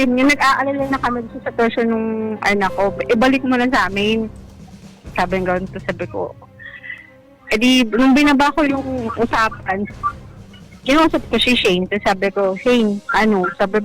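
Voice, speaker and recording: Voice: mid-range voice, a bit bright, low-quality recording. Filipino caller recounting a story over music. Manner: halting, retells conversations as quoted dialogue. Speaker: caller telling a personal love story. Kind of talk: casual spoken storytelling to radio hosts.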